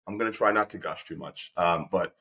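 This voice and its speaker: Guy hosting a podcast, lower voice, super eager, keeps restarting sentences. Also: brisk, vocal fry. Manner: energetic, restarts often, effusive. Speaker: podcast host and company representative. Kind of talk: enthusiastic interview hosting.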